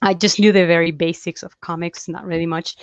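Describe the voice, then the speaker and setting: A young woman from Chile, a comic artist chatting as an interview guest, talking freely with halting restarts and easy laughs. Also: on the low side, steady tone, no echo. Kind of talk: casual interview chat. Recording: dull, dry lo-fi call audio.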